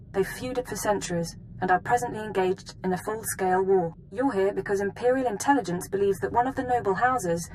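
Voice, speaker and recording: A woman's voice: medium pitch, lightly acted, rough recording. Woman acting a composed intelligence officer, background noise. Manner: crisp, formal, businesslike. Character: composed, precise female intelligence analyst briefing an agent. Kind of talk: video game voice acting.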